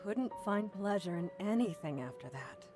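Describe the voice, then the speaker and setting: A woman voicing an elegant older sister figure who speaks softly and affectionately. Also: mid-range voice, lively intonation, normal speed, music in the background, close to an everyday voice. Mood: pained. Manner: soft, affectionate, calls others darling. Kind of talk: visual novel voice acting.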